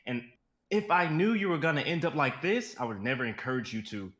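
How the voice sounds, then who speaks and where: A man voicing a patient male assistant to a star, speaking calmly and gently in an amateur dramatic reading. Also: fairly high pitch, a little fast, reverberant. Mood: reproachful. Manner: calm, gentle, soothing. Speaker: actor in a live script reading.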